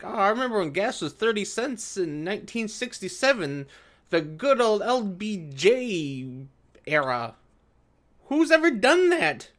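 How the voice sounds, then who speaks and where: A man's voice: high-pitched, a bit bright. A man hosting a casual commentary video, reading aloud and adding sarcastic, argumentative remarks.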